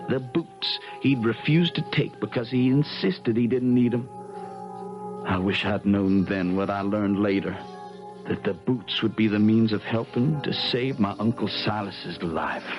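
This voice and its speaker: A man's voice: mid-range voice, natural voice. An actor playing an earnest, helpful young American man telling his adventure in a folksy way in a 1970s radio drama. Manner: folksy storytelling. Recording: dull vintage radio broadcast.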